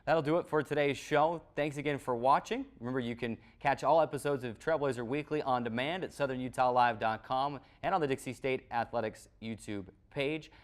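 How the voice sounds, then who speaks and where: A man's voice: mid-range voice, a little fast, few pauses. An American man presenting a college sports recap show as its host, in the manner of a play-by-play announcer.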